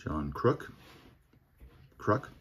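A man opening packs of baseball cards at a home table, reading off player names one by one in a relaxed, murmuring commentary. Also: drawn-out words, highs cut off, slight background noise. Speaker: card collector opening packs. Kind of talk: casual pack-opening commentary. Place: home table with card collection display.

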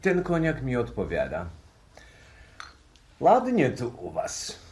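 A man's voice: medium pitch, huge pitch swings, a little mellow, slight background noise. A man in a 1960s radio play acting an easygoing, courteous professor who chats without hurry. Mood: relaxed, appreciative. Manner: courteous, chatty, unhurried. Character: genial, easygoing professor fond of cognac.

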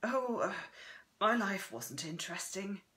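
A woman voicing an elderly Irish grandmother in an audiobook, guarded, gentle and reflective, with an Irish accent. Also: mid-range voice, animated, unhurried. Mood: evasive.

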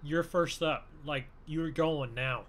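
A man bantering in an improvised gaming roleplay, excitable and full of exclamations, often urging others on. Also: a little high, slow pace, soft background music. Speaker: gaming video creator playing a truck roleplay. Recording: faint background noise.

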